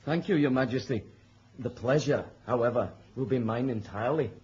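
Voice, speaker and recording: An actor in a radio drama playing a gallant, flirtatious and wry Celtic knight with a courtly, lyrical way of talking. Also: male voice, medium pitch, normal speed, a little mellow, lightly acted. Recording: muffled radio recording with hiss.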